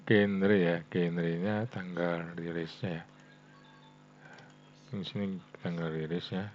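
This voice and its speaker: Low-voiced Indonesian man, dull lo-fi recording. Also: fairly even intonation. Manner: halting, frequent "ya" tags addressing viewers as friends. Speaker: coding tutorial host. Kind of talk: casual screen-recording tutorial, thinking aloud. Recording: dull lo-fi mic with faint hum.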